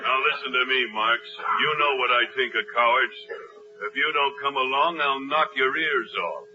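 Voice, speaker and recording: A man's voice: mid-range voice, normal speed, close to an everyday voice. A man playing a brash, eager, bullying neighborhood boy in an old radio comedy, talking in pushy slang. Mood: threatening. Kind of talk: 1940s radio comedy acting. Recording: dull, very noisy old radio recording.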